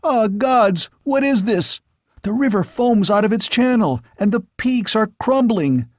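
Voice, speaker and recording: A man's voice: high-pitched, measured pace, no room sound, pitched above the performer's own voice. A man voicing a frantic young male squire who reports a battle in breathless, urgent bursts. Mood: anguished. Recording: dull lo-fi recording.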